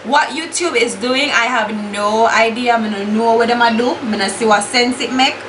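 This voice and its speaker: A woman's voice: on the high side, moderate pace. A young Jamaican woman with a Jamaican accent, chatting bluntly while doing her makeup, swearing freely and slipping into patois. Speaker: beauty YouTuber. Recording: echoey room with steady background hiss.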